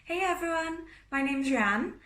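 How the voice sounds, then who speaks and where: A young woman with a South Welsh accent introducing herself in a bright, chatty, friendly way. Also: very high-pitched, animated, measured pace, reverberant. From South Wales. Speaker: PhD student. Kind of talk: casual video introduction.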